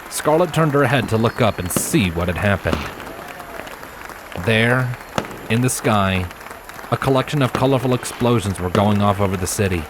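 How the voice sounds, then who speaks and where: A man reading fiction aloud as an expressive narrator of a scripted story. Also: on the low side, noisy background.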